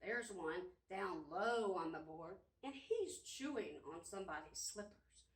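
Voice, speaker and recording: A woman librarian leading an interactive storytime for young children, talking in an encouraging, animated way with sing-song questions. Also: fairly low pitch, unhurried. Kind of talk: interactive preschool storytime talk. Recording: roomy echo, distant microphone.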